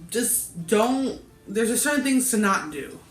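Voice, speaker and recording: A woman's voice: on the high side, animated, unhurried, roomy sound, faint music behind. A woman who stutters, talking bluntly and backing up her friend in casual conversation. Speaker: video co-host. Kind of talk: casual personal talk.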